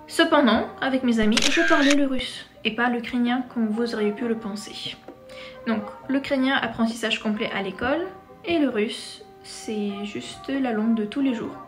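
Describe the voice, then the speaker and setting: A woman in her twenties from Crimea, whose first language is Crimean Tatar, telling her own story to camera in a lively, playful way. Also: fairly high pitch, normal speed, over music. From Crimea, Ukraine. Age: 20s. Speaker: vlogger.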